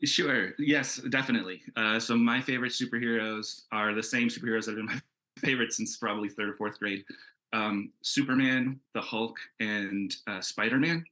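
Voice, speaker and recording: A man answering as a creator on a casual panel over a video call, chatty and halting as he thinks aloud. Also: on the low side, steady tone, bright, forceful tone. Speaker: comic book creator and panel guest.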